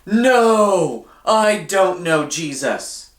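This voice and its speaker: A man voicing a brash, headstrong fisherman disciple in a children's storybook, emphatic and drawn-out in his insistence. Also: high-pitched, expressive, fairly bright tone, echoey room, pitched above the performer's own voice, heavily acted. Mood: emphatic denial.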